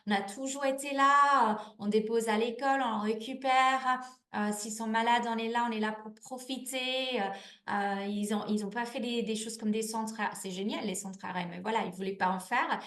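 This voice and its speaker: A woman's voice: a little high, fairly even intonation, moderate pace, a bit bright. A woman in her thirties answering interview questions in a chatty, candid way, with lots of restarts and fillers. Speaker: network marketing manager, guest. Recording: echoey room.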